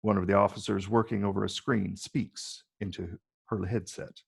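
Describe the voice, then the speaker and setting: A man with a gravelly, husky voice reading screenplay stage directions as scripted narration. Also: lowish voice, steady tone, normal speed.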